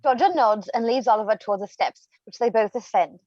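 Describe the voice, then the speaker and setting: A woman's voice: fairly high pitch, fairly quick, dry recording. A woman narrating stage directions in a scripted play reading, recorded on slightly dull livestream audio.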